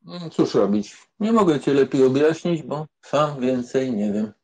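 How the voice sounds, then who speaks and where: A man as a husky, cocksure aristocratic womanizer. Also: mid-range voice, lively intonation, a little slow, dark tone. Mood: evasive. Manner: careless, teasing, self-assured. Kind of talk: dramatized audiobook acting.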